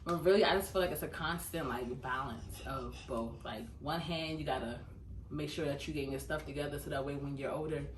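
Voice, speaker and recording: A woman's voice: low-pitched, a little fast. A woman in her twenties talking casually and thoughtfully about life in her twenties. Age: 20s. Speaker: interviewee. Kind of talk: casual reflective talk. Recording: roomy, distant mic, faint hiss.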